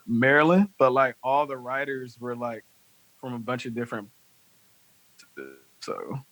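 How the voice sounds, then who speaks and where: A man in his late twenties, a podcast guest, telling stories in a rambling, animated way, full of "like". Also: medium pitch, unhurried, poor audio. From North Carolina. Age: 20s. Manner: rambling, heavy use of "like", animated storytelling. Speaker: podcast guest, music manager. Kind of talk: casual chat.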